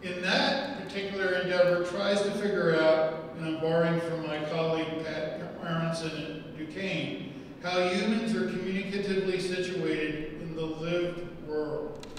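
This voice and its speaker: A man's voice: fairly high pitch, steady tone, unhurried, a little hiss or hum. Middle-aged male lecturer, wry and chatty, podium mic in a reverberant hall. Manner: wry, self-deprecating, conversational. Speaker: guest lecturer. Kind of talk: public lecture. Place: large hall with arched windows. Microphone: podium microphone. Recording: echoey hall, far from the mic.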